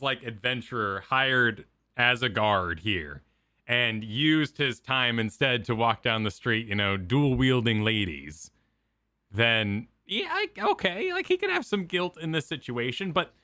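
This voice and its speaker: Very bright, lively man chatting on stream. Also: medium pitch, dry recording, studio quality. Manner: joking, informal. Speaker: co-host of a gaming reaction stream. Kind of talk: casual chat reacting to game cutscenes.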